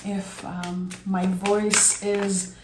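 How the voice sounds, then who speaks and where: A young woman with a raspy voice from being sick, giving a gentle, reflective tarot reading and thinking aloud as she draws cards. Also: mid-range voice, flat delivery, very slow, roomy sound, noisy background. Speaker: tarot reader. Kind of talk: soft, slow tarot reading.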